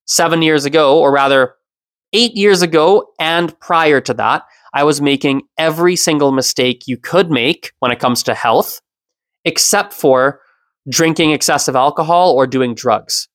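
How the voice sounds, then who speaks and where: Soft-spoken thirties man, podcast host. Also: on the high side, a little slow, no echo, studio quality. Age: 30s. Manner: reflective, self-explaining, occasional soft laugh. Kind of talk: solo podcast monologue.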